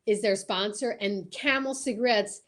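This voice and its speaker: A woman's voice: mid-range voice, moderate pace. A woman hosting a show in casual livestream chat, talking in an enthusiastic, gushing way. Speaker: show host.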